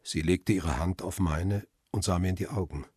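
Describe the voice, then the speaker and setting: An actor playing a wry, stubborn photographer who narrates and speaks in a crime radio drama, with a dry, confrontational manner and a husky, rough voice. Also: male voice, low voice, normal speed, a little mellow, no echo. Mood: guarded.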